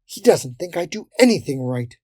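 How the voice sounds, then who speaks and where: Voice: mid-range voice, very animated, moderate pace, a little mellow, slightly rough, no room sound, studio quality, voice pushed up. A narrator voicing an eager, impatient young male fairy in a fantasy storybook.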